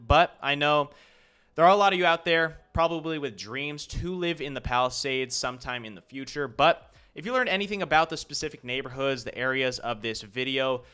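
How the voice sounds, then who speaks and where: Bright, clear-voiced man giving a tour. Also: a little high. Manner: loose, conversational, with fillers and restarts. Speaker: real estate agent and host. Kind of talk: casual map tour narration.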